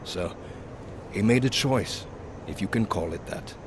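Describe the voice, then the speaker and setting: An actor playing a blunt, wry, weary pilot with a philosophical streak in a video game cutscene. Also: male voice, lowish voice, animated, unhurried, noisy background. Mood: bitter reflection. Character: weary, philosophical male pilot with an old knee injury.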